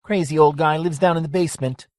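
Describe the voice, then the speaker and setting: A man's voice: on the high side, fast talker, no echo, pitched above the performer's own voice, lightly acted. A folksy, wary hangout owner , voiced by a man reading an audiobook, talks in a voice pushed well above the reader's own. The recording is close and dry. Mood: wistful.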